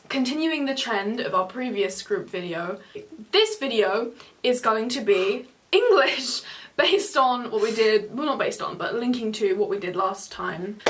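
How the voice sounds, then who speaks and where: Chatty young woman giving exam tips. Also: fairly high pitch. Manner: chatty, animated hand gestures, self-correcting. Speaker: student giving exam advice. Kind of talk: casual advice chat on camera. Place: small home room, indoors. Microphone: camera in front of two seated speakers.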